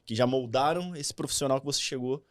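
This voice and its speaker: A man hosting a casual podcast interview, relaxed and chatty, often ending phrases with a questioning "right?". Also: mid-range voice, fast. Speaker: podcast host.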